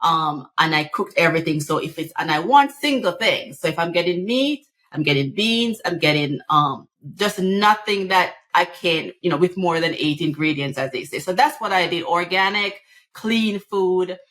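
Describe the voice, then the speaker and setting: A woman's voice: on the low side, lively intonation, moderate pace, a bit bright. A middle-aged woman from Jamaica with a Jamaican accent, speaking as a physician guest on a podcast, earnest and full of restarts and repeated words. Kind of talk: podcast interview.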